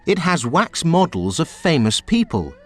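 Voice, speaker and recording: A man reading aloud who voices a clever, matter-of-fact young girl in a storybook, bright and explaining. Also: a little high, very animated, measured pace, faint music behind, pitched above the performer's own voice. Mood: knowing.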